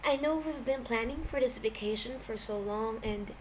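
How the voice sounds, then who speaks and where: An anxious young boyfriend acted by a woman in a homemade fiction reading. The delivery is hesitant, apologetic and pleading, pitched a little higher than plain narration. Faint noise sits behind the voice. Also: normal speed, lightly acted. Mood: nervous, apologetic. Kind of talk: amateur fan fiction audiobook dialogue. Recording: noisy amateur home recording.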